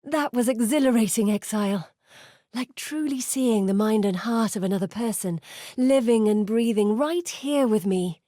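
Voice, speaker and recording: A woman's voice: on the high side, normal speed, mellow, no room sound, clean studio sound, lightly acted. An actress playing an earnest, curious woman scholar in a video game, articulate, thoughtful and dramatic in delivery. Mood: excited. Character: earnest, curious woman scholar guiding an exile through memories. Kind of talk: video game character voice acting.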